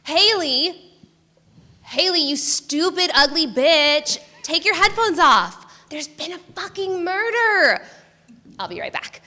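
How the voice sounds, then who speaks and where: A woman's voice: extremely high pitch, very animated, takes it slowly, heavily acted. An actress playing a chatty, brash young college woman in a live staged play reading. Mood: brash yelling. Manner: eager, foul-mouthed, yells across the room. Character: chatty, brash college woman who loves murder podcasts. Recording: echoey theatre hall, live stage.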